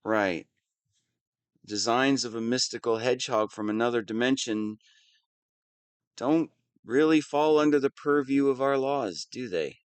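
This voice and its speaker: A man's voice: medium pitch, measured pace, clear, resonant tone, dry recording, lightly acted. A man playing a whiny, lovestruck young wannabe villain in an audio roleplay, petulant and defensive. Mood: sheepish, hesitant. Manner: petulant, defensive, swinging between sheepish mumbling and indignant outbursts. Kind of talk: scripted audio roleplay monologue.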